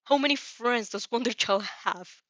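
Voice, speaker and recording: A woman's voice: a little high, moderate pace, pushed and bright, dry recording, dull audio, pitched above the performer's own voice. A woman voicing a fretful, protective middle-aged father who complains and questions in an exasperated way. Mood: baffled.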